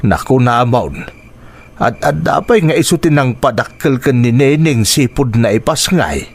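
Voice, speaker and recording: A man's voice: mid-range voice, lively intonation, unhurried. A man playing a stern older father with an Ilocano accent in a radio drama. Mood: concerned. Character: stern older father scolding his wayward daughter.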